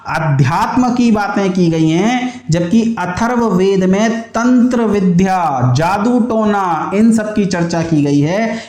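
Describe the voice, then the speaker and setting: A man's voice: high voice, darkish tone, roomy sound. An Indian man giving an exam-prep lecture as a teacher, explaining didactically and repeating key points so they stick.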